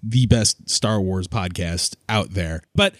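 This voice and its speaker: A man's voice: sits low, takes it slowly, no echo, clean studio sound. A man hosting a fan podcast enthusiastically, with playfully grandiose and emphatic phrasing. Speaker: podcast host.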